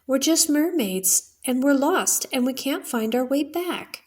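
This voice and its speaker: A woman's voice: sits high, expressive, a little slow, darkish tone, pitched above the performer's own voice. A young storybook mermaid, played by a woman reading aloud, curious and bold. She speaks in a lightly raised voice with an eager, earnest manner. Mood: reassuring.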